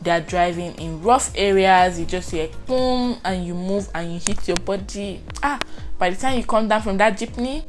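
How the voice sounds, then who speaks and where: A woman's voice: medium pitch, normal speed, music in the background. A young woman from Nigeria with a Nigerian accent, chatting expressively in a casual vlog and acting out her reactions. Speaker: vlogger.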